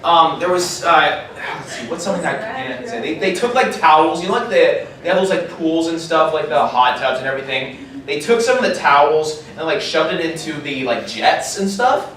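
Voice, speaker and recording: A man with a fairly high, lively voice tells stories casually and haltingly. He sounds far from the mic in a very reverberant room, with light noise around him. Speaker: convention panelist. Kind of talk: casual banter and anecdotes. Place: convention panel room. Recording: roomy, distant, noisy convention hall.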